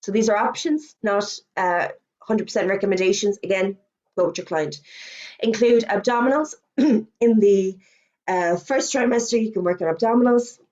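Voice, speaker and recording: A middle-aged woman with an Irish accent, a fitness instructor giving a webinar presentation, chatty, with quick asides and self-corrections, her voice going hoarse as she talks. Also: medium pitch, darkish tone. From Ireland. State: losing her voice.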